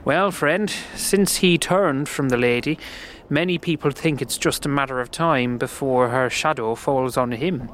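A man voicing a friendly, gentle, earnest slum dweller in a fantasy audio drama, with a folksy, hesitant way of talking. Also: mid-range voice, measured pace, slight background noise, natural voice. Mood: earnest, informative.

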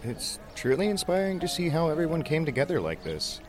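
A man's voice: on the high side, big pitch swings, a little fast. A man playing an easygoing, earnest survivor caring for a baby in an audio drama.

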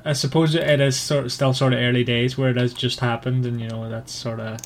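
Male book podcast co-host, casual and halting delivery, some room noise behind. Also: mid-range voice, little pitch movement.

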